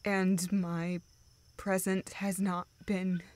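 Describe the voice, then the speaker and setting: Woman acting sad young woman confiding in a friend, really slow n unsure, self-deprecating, audio roleplay thing. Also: fairly low pitch, level tone, darkish tone. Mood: resigned, self-deprecating. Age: young adult. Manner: halting, hesitant, self-deprecating.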